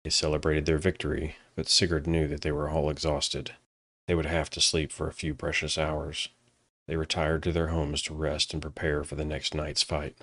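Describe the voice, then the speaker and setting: A man narrating a dramatic fantasy story from a script in a solemn, measured way. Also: very deep voice, completely flat delivery, fairly bright tone, no room sound, studio quality.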